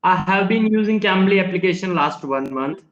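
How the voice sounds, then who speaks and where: A man's voice: high voice, animated, normal speed, echoey room, lo-fi sound, slight background noise. A man from India whose first language is Hindi, practicing English in earnest, polite, simple phrasing on a laptop video call. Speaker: English learner. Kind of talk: casual online conversation lesson. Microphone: laptop microphone on a video call.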